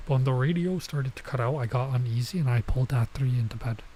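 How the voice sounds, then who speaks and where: Mellow-voiced man reading a game aloud. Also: mid-range voice, quick pace, slight background noise. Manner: stops to remark on and reread the text. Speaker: streamer playing and reading a visual novel aloud. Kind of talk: let's play read-aloud with casual side comments.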